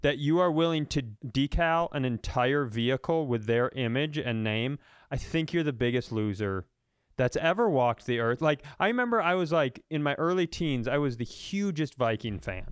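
A man's voice: mid-range voice, animated, normal speed, smooth, no echo, studio quality. An American man co-hosting a comedy podcast, ranting casually in a blunt, profane, mocking way.

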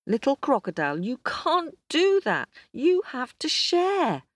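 A woman voicing a spirited young schoolgirl with a bright, exclaiming manner. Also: lowish voice, a little fast, dry recording. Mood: indignant. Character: spirited young schoolgirl, bossy but kind.

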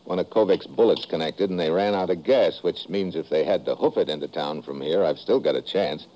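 An actor playing a tough, wry American secret agent in a 1950s radio drama, terse and wisecracking. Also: male voice, sits low, a little fast, warm tone, lo-fi sound, natural voice. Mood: urgent. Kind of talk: 1950s radio drama acting with first-person narration. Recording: noisy old radio drama recording.

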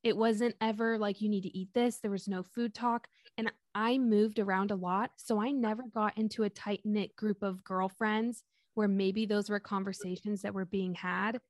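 A woman co-hosting a podcast in casual chat, with an excitable, giggly manner. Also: mid-range voice, moderate pace, no echo. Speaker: podcast co-host.

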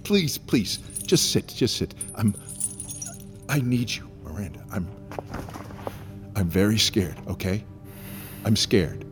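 An actor playing a volatile, scared and paranoid prisoner in an audio drama, swearing and swinging between hushed pleading and agitated outbursts. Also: male voice, on the low side, big pitch swings, takes it slowly, long pauses, over music. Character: volatile, foul-mouthed male prisoner, scared, paranoid, manipulative. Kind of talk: naturalistic audio drama acting.

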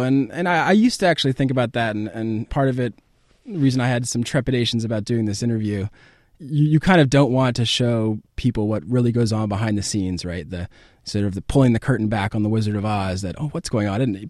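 A man's voice: mid-range voice, lively intonation, a little fast, dry recording, studio quality. A man with an American accent giving conversational answers as an interview guest. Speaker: interview guest, political campaign advance man.

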